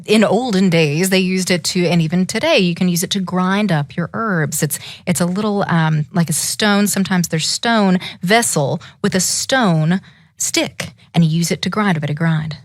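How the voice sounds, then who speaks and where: A woman's voice: on the low side, normal speed, no echo, studio quality. A woman telling a fairytale live, in a dramatic, emphatic way, drawing her listeners in as she goes. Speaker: storyteller.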